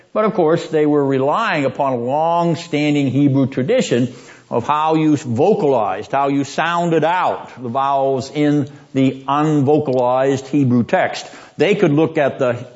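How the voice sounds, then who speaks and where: A man teaching a seminary class on biblical poetry, deliberate and emphatic, repeating his points and often clearing his throat. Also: medium pitch, darkish tone. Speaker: seminary lecturer. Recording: dull lo-fi classroom recording.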